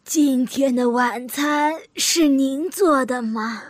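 An actress playing a composed, polite old lady who gently probes in an audio drama. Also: high voice, dry recording, clean studio sound. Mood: polite, gracious. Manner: courteous, measured.

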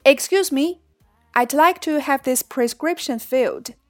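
A woman reading the lines of a polite female customer at a pharmacy in a clear, careful textbook manner. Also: sits high, a little slow, dry recording, studio quality, soft background music.

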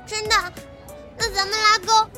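A woman's voice: extremely high pitch, flat delivery, moderate pace, music in the background. A woman playing a sweet, clingy little girl in an audio drama, with a childlike voice and pleading, simple phrasing. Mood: eager. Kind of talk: Chinese audio drama acting.

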